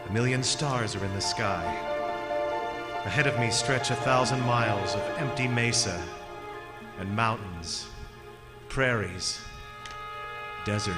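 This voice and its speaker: An actor playing a desperate, haunted young son on the road in an old-time radio drama, intense and theatrical. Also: male voice, fairly low pitch, long reverb tail. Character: desperate haunted male traveler, son telling his eerie story.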